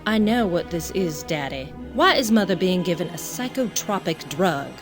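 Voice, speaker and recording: Woman playing an animated, challenging grown daughter. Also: fairly low pitch, moderate pace. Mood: accusing. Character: earnest, challenging grown daughter of a wealthy family. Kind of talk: audio drama acting. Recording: music under the dialogue.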